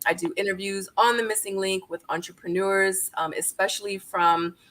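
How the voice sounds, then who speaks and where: A woman's voice: mid-range voice, steady tone, normal speed, a bit bright. A woman in her forties, born in Korea, hosting a casual online interview show, chatty and upbeat, talking straight to her viewers. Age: 40s. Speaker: YouTube interview host.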